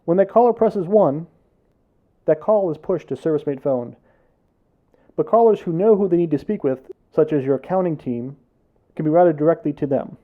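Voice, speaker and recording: Explainer presenter: American male, slightly high voice, scripted business delivery. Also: animated, normal speed, pauses often, phone-quality sound. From United States. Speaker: presenter for a tech consultancy.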